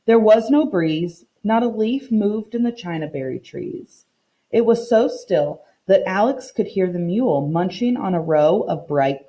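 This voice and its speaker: A woman teacher reading a storybook aloud to children in an expressive, deliberate way, pausing to teach. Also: mid-range voice, rough recording. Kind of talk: read-aloud lesson for children, storybook narration.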